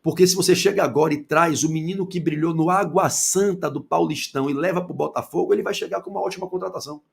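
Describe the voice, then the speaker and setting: A man with a Bahian accent holds forth on football in a fairly high, gravelly voice. He keeps throwing rhetorical questions at the listener and swings from loud outbursts down to low asides. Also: quick pace. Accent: Bahian Portuguese. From Salvador, Bahia, Brazil. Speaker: football commentator. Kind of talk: opinionated football commentary monologue.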